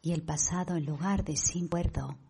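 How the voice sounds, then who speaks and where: A woman with an accent from Spain leads a guided meditation, speaking calmly and deliberately in a husky, breathy voice with pauses. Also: low-pitched. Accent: Spanish from Spain. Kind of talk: guided meditation talk to an online audience.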